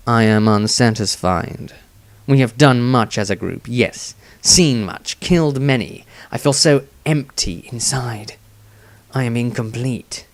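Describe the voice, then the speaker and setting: A menacing, hollow adventurer confiding in his diary, acted out by a man. He talks very slowly in terse, halting phrases at a middle pitch, in a fantasy audiobook style. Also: no echo, close to an everyday voice. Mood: brooding, empty. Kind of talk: fantasy audiobook character reading.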